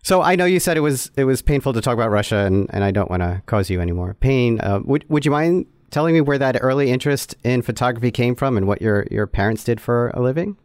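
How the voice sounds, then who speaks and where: A man's voice: medium pitch, animated, quick pace, few pauses, a little mellow, clear, resonant tone, no echo, clean studio sound. A man hosting an interview, asking questions and giving supportive brief acknowledgments. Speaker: podcast host.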